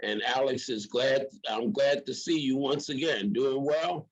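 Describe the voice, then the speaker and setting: A man's voice: mid-range voice, a little slow. A man hosting an interview program in casual talk, with emphatic, repetitive rhetorical phrasing. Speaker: program host.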